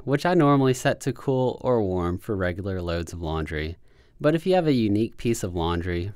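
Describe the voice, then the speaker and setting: A man calmly narrating a scripted how-to tutorial as a voice-over, giving plain step-by-step instructions. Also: lowish voice, no room sound, studio quality. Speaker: how-to video host.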